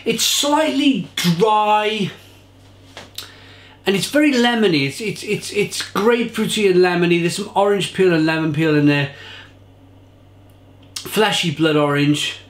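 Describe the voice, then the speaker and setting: A man's voice: high voice, unhurried, long pauses, echoey room. A man in his thirties giving an enthusiastic, casual beer tasting review to camera from a home kitchen. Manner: enthusiastic, gestures a lot while describing flavours. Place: home kitchen bar with shelves of bottles, indoors.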